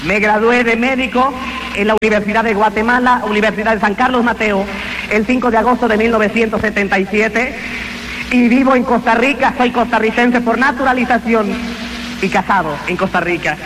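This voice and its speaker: A man's voice: sits high, steady tone, brisk, background noise. A man, giving a fervent, emphatic religious testimony that he tells as a story. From Honduras. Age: 20s. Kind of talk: evangelical testimony.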